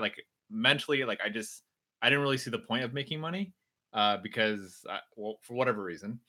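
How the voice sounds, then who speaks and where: A man's voice: mid-range voice, bright, forceful tone. A young man whose first language is Mandarin hosting a casual livestream Q&A, upbeat and teasing, reading viewer comments. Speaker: host. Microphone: video livestream.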